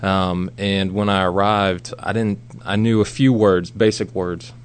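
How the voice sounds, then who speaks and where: A man's voice: low voice, little pitch movement, measured pace. An American man of about forty, speaking casually as a guest in a radio interview. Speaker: guest, missionary. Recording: background noise and hum.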